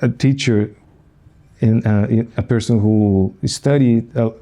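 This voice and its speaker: A man with a Brazilian Portuguese accent, hosting an interview and speaking haltingly as he searches for words in English. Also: medium pitch, steady tone, dark tone. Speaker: host, interviewer. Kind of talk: conversational interview.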